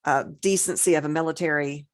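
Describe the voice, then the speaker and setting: Woman with a low voice, casual podcast chat, emphatic storyteller, super dry sound. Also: fairly even intonation, brisk, dry recording. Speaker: podcast co-host. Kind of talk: casual chat, recounting film examples.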